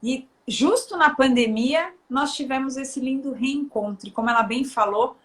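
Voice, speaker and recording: Lively woman with a raised voice. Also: high voice, normal speed. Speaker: live stream guest. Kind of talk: casual chat.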